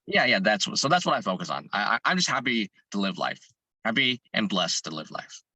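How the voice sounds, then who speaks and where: Hoarse man, bright and earnest. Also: fairly low pitch, sing-song, brisk, dry recording. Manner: earnest, thinking aloud with many restarts. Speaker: fitness content creator and business owner. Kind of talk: interview guest answering questions.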